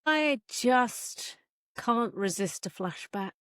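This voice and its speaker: A woman's voice: fairly high pitch, expressive, takes it slowly, fairly bright tone, no room sound, clean studio sound. A woman author in a casual interview, talking in a wry, chatty way.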